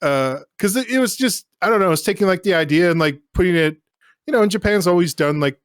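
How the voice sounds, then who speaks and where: Laid back podcast dude, rambles, slightly high voice, dry mic. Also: male voice, lively intonation, fast, smooth, no room sound. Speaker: podcast co-host. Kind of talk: casual chat.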